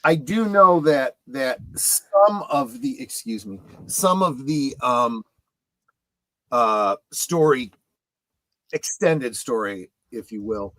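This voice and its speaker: A middle-aged man chatting casually on a fan discussion show, halting yet emphatic as he explains things in detail. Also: mid-range voice, lively intonation, sharp tone, dry recording, rough recording. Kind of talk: casual chat about films and memorabilia.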